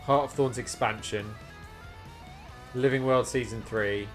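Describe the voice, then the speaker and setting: A man's voice: mid-range voice, steady tone, a little slow. Man thinking aloud on a game stream over music. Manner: thinking aloud, questioning, reading menu items out. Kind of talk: casual live-stream commentary while playing. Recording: music playing behind. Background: guitar music.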